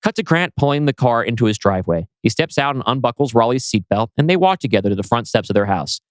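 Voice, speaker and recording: A man's voice: mid-range voice, lively intonation, quick pace, no room sound. A man reading scripted screenplay narration as writer and narrator.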